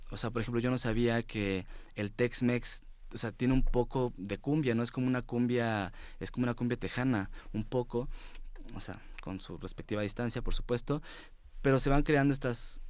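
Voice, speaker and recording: A man's voice: mid-range voice, darkish tone, dry recording. A man with a Mexico City accent chatting informally as an interview guest, often groping for his words. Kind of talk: casual studio conversation. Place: TV studio.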